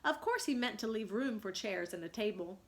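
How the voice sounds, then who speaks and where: A woman's voice: medium pitch, animated, normal speed, slight background noise. A woman reading a children's story aloud as a teacher, narrating expressively and asking explanatory questions.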